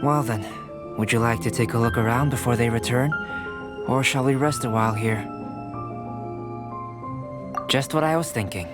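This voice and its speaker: Music plays strongly behind a young alchemist played by a man in a video game. He is composed and scholarly, choosing formal words with measured care and cool reserve. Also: mid-range voice, lightly acted. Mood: calm, measured. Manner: formal, measured, deliberate phrasing. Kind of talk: scripted video game dialogue.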